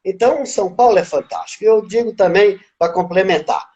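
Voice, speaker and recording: A relaxed man in his fifties with a faint Bahian accent, speaking in a rather high voice. He reflects on his past as an interview guest, unhurried and conversational. He often tags his thoughts with little questions to the listener. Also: muffled recording. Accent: Bahian Portuguese. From Brazil, born in Minas Gerais, raised in Bahia. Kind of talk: casual life-story interview.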